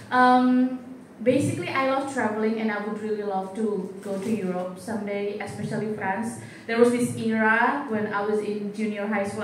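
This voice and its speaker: Woman from Indonesia, interviewer, chatty and rambling, roomy far-off mic. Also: medium pitch. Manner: chatty, rambling with fillers and restarts. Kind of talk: casual interview questions. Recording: roomy echo, distant mic, faint noise.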